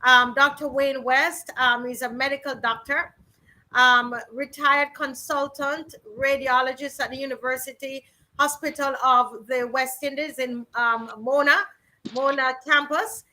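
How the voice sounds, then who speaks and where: A middle-aged woman hosts and introduces guests in a loose, chatty way, filling pauses with plenty of ums and restarts. Her voice sits high, with a bright edge. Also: steady tone, rough recording. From Barbados. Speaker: host of a virtual rally. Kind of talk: casual hosting and introductions.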